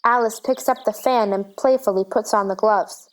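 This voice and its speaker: A woman's voice: fairly high pitch, steady tone, normal speed. A woman reading stage directions as the narrator of a scripted storybook drama.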